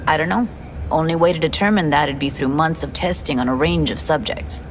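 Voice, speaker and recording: A woman's voice: sits low, a little fast, slight background noise, close to an everyday voice. An actress playing a blunt, weary young woman tech rebel in a video game, speaking in terse, matter-of-fact phrases. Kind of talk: cinematic game dialogue.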